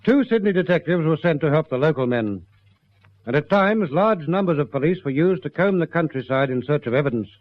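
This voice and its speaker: A man narrating police case files for a radio drama in a formal, sober, measured scripted style. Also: on the high side, expressive, dry recording, close to an everyday voice. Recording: dull lo-fi radio recording.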